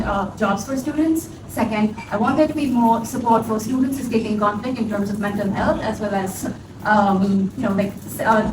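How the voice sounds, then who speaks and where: A student woman gives a hesitant campaign speech, her points broken up by ums and uhs. Her voice sits a little high. She is heard from a distance in an echoey, noisy room. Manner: hesitant, many fillers. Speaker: election candidate, student. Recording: echoey room, far mic, background noise.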